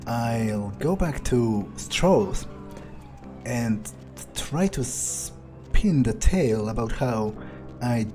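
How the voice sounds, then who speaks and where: A man narrating his gameplay in character, thinking aloud in a measured, musing way. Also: mid-range voice. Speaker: gamer role-playing as his character. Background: faint music.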